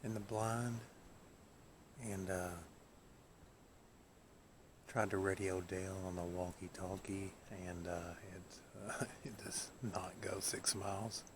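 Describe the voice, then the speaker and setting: A man talking casually to camera as a folksy hunting vlog host, in a drawn-out way. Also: low voice, steady tone. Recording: noisy outdoor and vehicle background.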